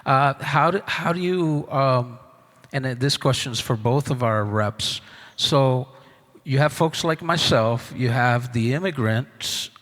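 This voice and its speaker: A man with a Puerto Rican accent moderating a panel, speaking haltingly and searching for his words. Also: medium pitch, fairly bright tone. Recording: reverberant hall.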